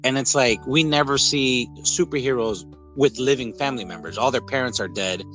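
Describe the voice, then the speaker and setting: A man in a casual, rambling podcast-style chat reviewing a film, with animated retellings. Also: mid-range voice, brisk, faint music behind, poor audio. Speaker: podcast host.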